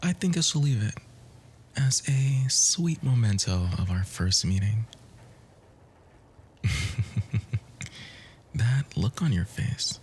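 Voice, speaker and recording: A man playing a charming, composed bookish romantic in a flirtatious ASMR roleplay, speaking softly and breathily in courtly, flowery phrases that often drop to a whisper. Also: mid-range voice, slow, long pauses, slight background noise, close to an everyday voice. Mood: gentle, pleased.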